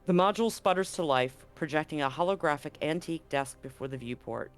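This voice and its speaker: A man's voice: on the high side, brisk, soft background music. A man acting as game master, hosting and narrating a tabletop roleplaying game stream.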